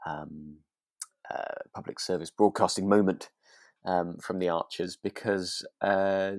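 A man's voice: deep voice, steady tone, slow pace, no room sound, clean studio sound. A British man chatting as a podcast co-host, hesitant and often restarting his sentences. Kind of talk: relaxed chat discussing a radio drama.

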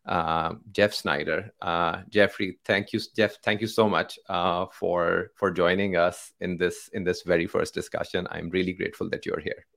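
A man's voice: low-pitched, level tone, moderate pace, gravelly, hardly any pauses, a bit bright. A man from Pakistan hosting a casual conversation, hesitant, with many fillers and restarts. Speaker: host.

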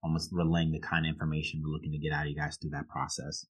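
Deep, husky-voiced male interviewer. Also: completely flat delivery, very fast, a bit bright. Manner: wordy, rephrasing questions as he goes. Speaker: podcast host, interviewer. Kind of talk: conversational interviewing.

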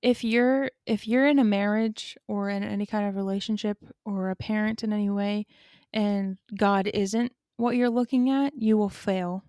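Woman, flat even delivery, thoughtful, dry recording. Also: medium pitch, moderate pace, no room sound. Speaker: podcast co-host. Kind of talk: casual faith discussion.

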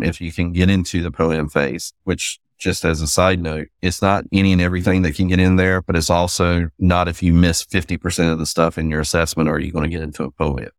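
A man's voice: sits low, no echo, clean studio sound. A man with a Southern US accent, a guest on an interview, explaining a process step by step in a methodical, plainspoken way with folksy asides. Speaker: guest, cybersecurity assessor.

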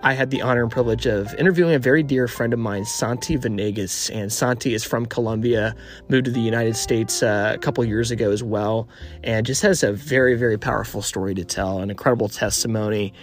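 A young man hosting a podcast, eagerly welcoming listeners and repeating excited, upbeat phrases as he introduces and questions his guest. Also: medium pitch, fairly quick, faint music behind. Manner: enthusiastic, repetitive hype phrases. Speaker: podcast host.

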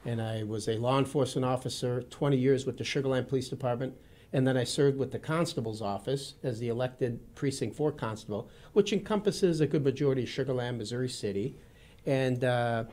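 Earnest middle-aged Midwestern man. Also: medium pitch. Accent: Midwestern (Wisconsin). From Wisconsin. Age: 50s. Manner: steady, earnest and formal, addresses the host by name often. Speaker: guest. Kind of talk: radio interview. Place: radio studio.

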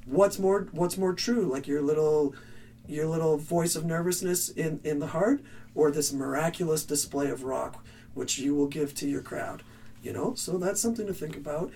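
Raspy male band vocalist, earnest rambling talker, slightly high voice, off-mic. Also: normal speed, mellow, distant mic. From Canada. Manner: rambling, earnest advice with restarts. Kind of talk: casual interview answers.

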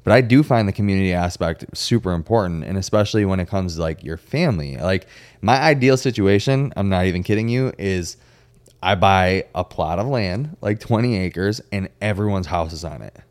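A man's voice: deep voice, expressive, brisk, darkish tone. A man hosting a podcast, chatting casually in an informal, slangy and opinionated way. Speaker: podcast host. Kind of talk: casual chat.

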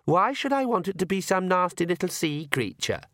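A man's voice: high voice, very animated, normal speed, a bit bright, no echo, studio quality, voice pushed up. A man voicing a bratty, cruel teenage vampire daughter in a storybook, sulky and scornful. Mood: weary, sulky.

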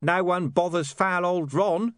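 A man's voice: high voice, a little slow, dry recording, studio quality, voice pushed up. A man voicing a streetwise talking dog who fumbles for words in an audiobook. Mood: wry. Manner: gruff, hesitant, searching for words.

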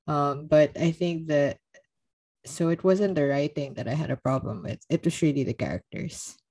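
Woman on a book podcast, bit low voiced, chatty n blunt, teases a lot, laughs while talking. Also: normal speed, warm tone. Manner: teasing, blunt. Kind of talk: casual book discussion.

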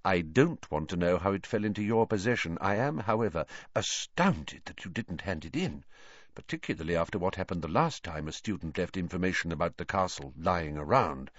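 A man reading, voicing a calm, gentle male professor who speaks mildly and evenly. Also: low voice, no room sound, muffled recording. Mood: stern quiet. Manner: mild, measured, soft-spoken.

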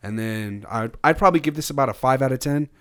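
A young man chatting casually on a music review podcast. He rambles through his thoughts with frequent restarts and fillers, in a relaxed, offhand way. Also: mid-range voice, fast. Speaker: podcast co-host. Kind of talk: casual album review chat.

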